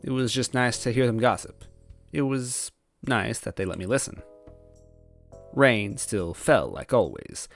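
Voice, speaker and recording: A man's voice: medium pitch, lively intonation, a little slow, vocal fry. A man hosting a story read-aloud series, talking casually and narrating in the first person. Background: background music.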